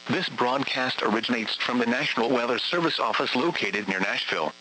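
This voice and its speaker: Bright-toned man reading a formal alert. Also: mid-range voice, a little fast, slight background noise, poor audio. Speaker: weather radio announcer. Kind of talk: scripted emergency test announcement.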